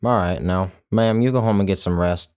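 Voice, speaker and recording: A man's voice: low voice, moderate pace, a little mellow, clear, resonant tone, close to an everyday voice. A man voicing an easygoing inspector who speaks offhandedly and mumbles to himself. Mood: offhand.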